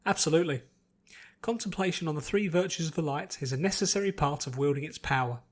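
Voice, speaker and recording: A man voicing a calm, earnest veteran paladin who mentors a pupil in a formal, composed way in an audiobook. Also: a little high, quick pace, lightly acted. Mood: calm, firm.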